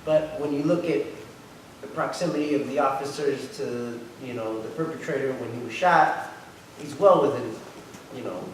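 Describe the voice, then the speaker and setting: A man's voice: medium pitch, normal speed. A man talking informally in a post-show discussion, thinking aloud in a hesitant way. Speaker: member of a theater production team. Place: large echoey indoor arts venue. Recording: very echoey hall, distant mic, faint noise.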